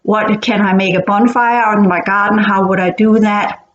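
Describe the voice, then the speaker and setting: A woman from Denmark, not a native English speaker, talking casually as host and often pausing to search for words. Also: mid-range voice, steady tone. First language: Danish. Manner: hesitant, searching for words with many fillers and restarts. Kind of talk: casual explanatory talk. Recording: echoey, dull-sounding room recording.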